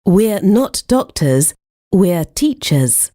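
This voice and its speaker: A woman with a mellow, lowish voice reads language-course dialogue in a dry studio recording. She speaks slowly and pronounces every word with care. Her intonation is lively. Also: dry recording. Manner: slow, carefully enunciated delivery. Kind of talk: scripted language-lesson dialogue.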